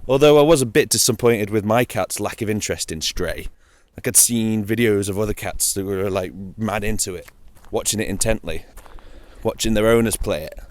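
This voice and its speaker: A man hosting a gaming audio log, chatting casually and informally on his own, with playful, wry asides. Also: fairly low pitch. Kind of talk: casual solo chat about video games.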